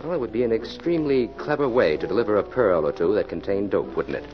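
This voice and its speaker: A man's voice: lowish voice, expressive, fast talker, natural voice. A man playing a cool, authoritative police detective in 1940s radio style, speaking firmly in clipped, commanding phrases. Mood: explaining coolly. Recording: muffled old radio recording, music behind.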